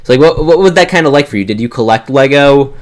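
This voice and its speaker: A man hosting a fan interview show, speaking with excitable enthusiasm and often stammering over his word starts. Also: mid-range voice, quick pace. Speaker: host of a toy fan video show. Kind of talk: enthusiastic interview and scripted introduction.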